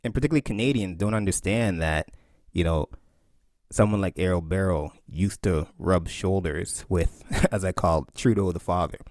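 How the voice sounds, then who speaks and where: A man from Barbados, a historian and author, answering interview questions in an emphatic, animated way with quoted asides. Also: sits low, normal speed, no room sound, studio quality.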